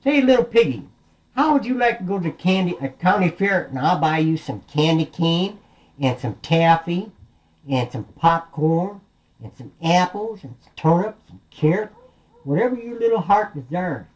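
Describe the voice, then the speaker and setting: Guy doing a big bad storybook wolf, folksy and scheming, grumbly, pitch jumps around a lot. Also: expressive, normal speed, highs cut off. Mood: wheedling. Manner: folksy, colloquial, wheedling and grumbling. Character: big bad wolf, male, scheming, blustering, easily frustrated. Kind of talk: storybook character voice.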